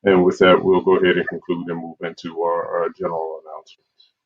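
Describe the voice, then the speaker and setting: A man's voice: low-pitched, completely flat delivery, fairly quick, slightly rough, no echo. A man hosting an online community program and reading out announcements over a video call. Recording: dull, lo-fi video call audio.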